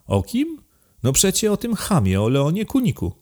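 A man voicing an excitable, contemptuous, deranged aristocrat count in an audiobook, imperious and given to emphatic outbursts. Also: sits high, moderate pace, voice pushed up. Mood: indignant. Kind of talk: dramatized audiobook reading.

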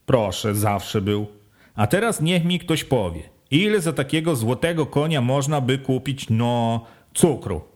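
Man reading as a sharp, pushy village head, raised voice, insistent questions, rising pitch. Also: animated, unhurried, natural voice. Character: forceful village official, a middle-aged man, pressing and sly.

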